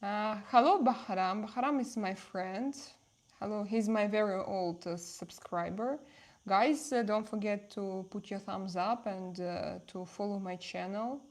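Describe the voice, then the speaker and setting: Slow-paced woman with a Russian accent. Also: mid-range voice, a little mellow. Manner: halting, repeats phrases. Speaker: livestream host. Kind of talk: casual livestream chat answering viewers' comments.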